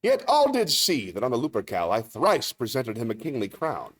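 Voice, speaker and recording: A man performing a solemn Roman funeral orator in a measured, rhetorical Shakespearean stage monologue. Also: mid-range voice, wildly swooping pitch, voice pushed up. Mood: solemn oration.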